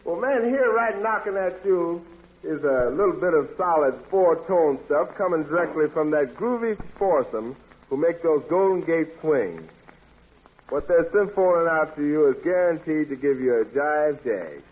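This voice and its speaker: A man's voice: fairly high pitch, lively intonation, moderate pace, husky. Tinny vintage American radio jive emcee, male. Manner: playful, florid hepcat slang. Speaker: radio show host and announcer. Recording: tinny band-limited vintage 1940s radio recording.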